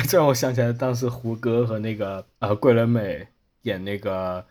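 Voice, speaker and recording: A man's voice: medium pitch, fairly even intonation, normal speed, darkish tone. A man in casual panel chat as a podcast host, explaining things and often correcting and repeating himself.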